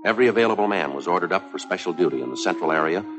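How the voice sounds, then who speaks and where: Stoic American detective, low-pitched, clipped deadpan narration, 1950s TV soundtrack, music behind. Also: male voice, racing. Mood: flat, businesslike. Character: stoic, businesslike male police detective sergeant narrating cases. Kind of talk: 1950s police drama narration, terse and factual.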